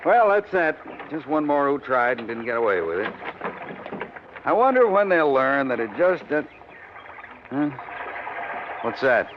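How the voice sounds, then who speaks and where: A small-town police sergeant in an old 1950s radio drama. He is gruff, plain-spoken and dismissive, at an ordinary male pitch. The recording is dull and clearly noisy. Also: sing-song, measured pace, pauses often.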